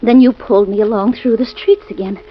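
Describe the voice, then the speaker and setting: Brief: actress as scared young wife, pleading, high voice, lo-fi radio. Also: female voice, steady tone, a little fast, a little hiss or hum, lightly acted. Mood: anxious. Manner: anxious, pleading. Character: frightened young wife recounting a nightmare. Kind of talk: 1940s radio acting. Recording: dull old radio recording with faint music.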